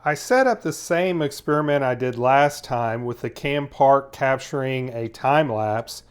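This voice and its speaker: A man narrating a product review as its host, explaining things in a measured, methodical way. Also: mid-range voice, expressive, smooth.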